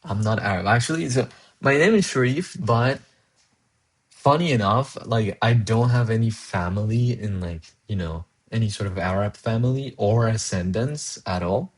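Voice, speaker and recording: A young man with a somewhat low, husky voice chats casually on a live coding stream. He is from Colombia and Spanish is his first language. He thinks aloud, rambles with restarts, and laughs easily. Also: normal speed. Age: young adult. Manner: laughs often, reads chat aloud. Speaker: livestreaming programmer.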